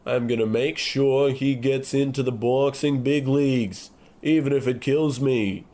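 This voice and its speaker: Man playing a slow-talking glum gangster son. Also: mid-range voice, steady tone, dark tone, lightly acted. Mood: determined. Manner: earnest, morose. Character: glum small-time gangster son who loves boxing. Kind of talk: comic old-time radio play acting.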